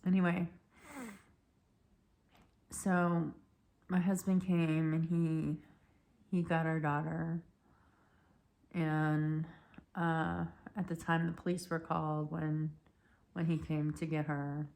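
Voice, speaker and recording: A woman in her thirties telling a personal story in a rambling, halting way, her voice hoarse and cracking. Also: sits low, level tone, darkish tone. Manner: rambling, halting, many restarts and fillers. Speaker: vlogger.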